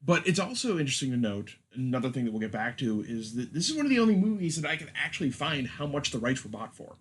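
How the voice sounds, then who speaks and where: A man's voice: mid-range voice, big pitch swings, fast talker, fairly bright tone. A man hosting a casual film chat, with a wry, joking manner. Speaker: podcast host.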